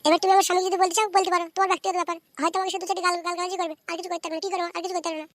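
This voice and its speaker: A woman acting as a coy, defiant young Bengali village wife from a comic cartoon. Her voice sits extremely high and races along, wheedling one moment and bickering the next. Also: flat delivery, very fast, no room sound. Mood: pleading, defiant.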